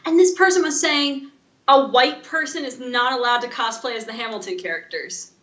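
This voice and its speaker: Woman chatting on a podcast, kinda high bright voice, roomy n a bit dull audio. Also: normal speed. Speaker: podcast co-host. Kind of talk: casual chat. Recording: echoey room, slightly muffled audio.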